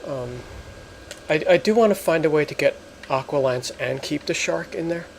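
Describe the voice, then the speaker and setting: A man hosting a let's play, explaining things in casual, halting gameplay commentary. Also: on the high side, lively intonation, gravelly, darkish tone, slight background noise. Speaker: gamer, let's play host.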